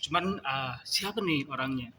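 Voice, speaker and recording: A man from a theatre department speaking in a quick, informal, chatty way during a panel discussion. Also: mid-range voice, expressive, sharp tone. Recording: noisy room ambience.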